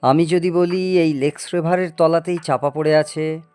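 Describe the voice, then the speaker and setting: A man's voice: fairly high pitch, steady tone, normal speed, darkish tone, clear, resonant tone, soft background music, natural voice. A man playing a boastful, eccentric older scientist in an audio story, lecturing and teasing in a grandiose way. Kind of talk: dramatised audio story acting.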